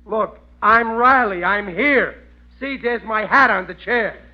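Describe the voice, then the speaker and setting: A man's voice: high voice, takes it slowly. An actor playing a bumbling, exasperated working-class family man in a 1940s radio sitcom. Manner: blustering, mangles phrases and grammar. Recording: muffled old 1940s radio recording.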